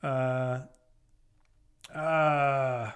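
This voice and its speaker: A man's voice: medium pitch, fairly even intonation, drawn-out words, fairly bright tone. An American man from Oklahoma giving casual, direct advice to live-stream viewers as a lawyer, reassuring and repeating phrases for emphasis. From Oklahoma, United States. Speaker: immigration lawyer hosting a live Q&A.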